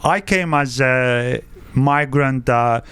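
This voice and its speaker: Guest spot: man in his 50s, from Egypt, Greek-native, halting speech, smooth clear voice, dry studio. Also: medium pitch, a bit bright, dry recording. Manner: halting, frequent "uh" fillers, non-native grammar. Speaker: guest, wealth adviser. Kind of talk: podcast interview.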